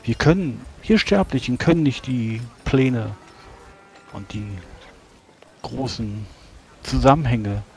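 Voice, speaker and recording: A man's voice: medium pitch, darkish tone, faint music behind. A man playing a solemn preaching fantasy priest. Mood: earnest, exhorting. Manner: formal archaic speech, preachy, deliberate. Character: solemn, measured male priest counselling an impulsive comrade. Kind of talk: tabletop roleplay in character.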